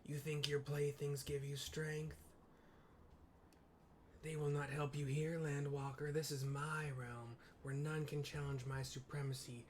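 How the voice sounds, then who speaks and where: A man's voice: a little high, flat delivery, measured pace, slight background noise, lightly acted. A man voicing a huge, cruel winged female deity in a fantasy game, haughty and theatrical with archaic phrasing. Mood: threatening.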